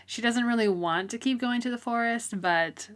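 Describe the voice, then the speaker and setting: A woman's voice: a little high, moderate pace, fairly bright tone. A woman co-hosting a podcast, chatting casually and informally about a book, peppering her sentences with "like". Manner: informal, frequent "like" as filler. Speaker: podcast co-host. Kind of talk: casual book discussion chat.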